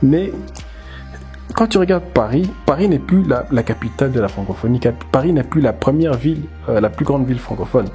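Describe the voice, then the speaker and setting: A man from the DR Congo, a language teacher, giving animated, emphatic commentary and repeating words as he thinks aloud. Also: medium pitch, a little fast, soft background music. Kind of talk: casual commentary video.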